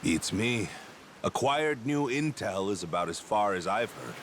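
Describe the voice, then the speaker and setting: A man's voice: on the low side, animated, unhurried, slight background noise. A man voicing a knowing, teasing treasure hunter who tells stories informally in a video game dub. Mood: casual, intrigued. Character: knowing male treasure hunter sharing rumors, smooth-talking.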